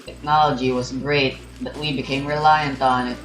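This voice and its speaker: A man's voice: medium pitch, little pitch movement, moderate pace, fairly bright tone, a little hiss or hum. A young man giving rambling, casual game commentary, musing aloud and often correcting himself as he goes. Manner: musing, hesitant, self-correcting. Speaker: gamer recording a playthrough. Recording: roomy sound over game music. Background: game music.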